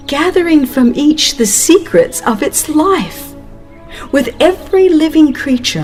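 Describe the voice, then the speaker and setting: A woman reading a religious text as a dramatized audiobook, in a measured, reverent and expressive way, drawing out the ends of phrases. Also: fairly high pitch. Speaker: audiobook narrator.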